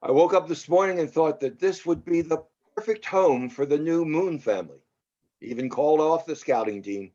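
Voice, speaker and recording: A man's voice: fairly high pitch, moderate pace, warm tone, lightly acted. A man playing an entitled, menacing Hollywood producer in a drama table read. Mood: smooth. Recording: dull lo-fi microphone sound.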